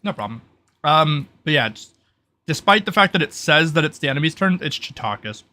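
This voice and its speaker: A man with a bright, crisp-edged voice at middle pitch acts as game master for a tabletop roleplay. He explains casually and informally, rambling a little as he thinks aloud. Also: animated, fairly quick.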